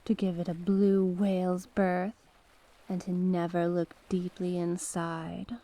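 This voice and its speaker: A woman's voice: lowish voice, completely flat delivery, slow pace, mellow. A woman playing a mysterious sea witch in an ASMR roleplay, coaxing and sly, speaking with genteel courtesy and terms of endearment.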